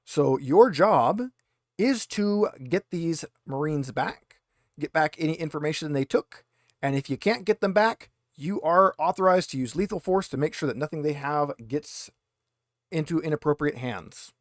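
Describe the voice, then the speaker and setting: A man with a slightly high voice narrates a tabletop game as its game master. His delivery is conversational, dotted with wry asides, and the sound is close and dry with little room in it. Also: animated, measured pace, no room sound.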